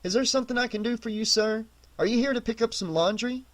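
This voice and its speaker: A man's voice: extremely high pitch, quick pace, a bit bright, no echo, pitched above the performer's own voice. A man voicing a harried young male store clerk who talks wary but tries to stay firm. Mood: polite.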